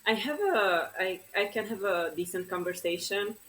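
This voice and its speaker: A woman's voice: mid-range voice, lively intonation, normal speed, a bit bright, a little hiss or hum, low-quality recording. A young woman from Romania whose first language is Hungarian, chatting modestly as an interview guest with frequent hesitations. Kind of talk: casual conversational interview.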